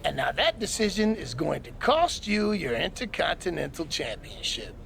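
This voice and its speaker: A man playing a sly, smug wrestling manager in a video game cutscene, with a gravelly voice and taunting delivery. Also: on the high side, sing-song, moderate pace, fairly bright tone, exaggerated voice. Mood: smug, threatening. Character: sly, smug male wrestling manager scheming against a champion.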